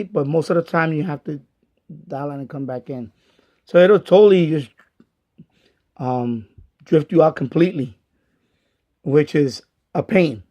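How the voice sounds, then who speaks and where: Casual man with a creaky, higher-set voice. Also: a little mellow. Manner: halting, repetitive, thinking aloud. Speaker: radio hobbyist reviewing a portable radio. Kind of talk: casual unscripted product review.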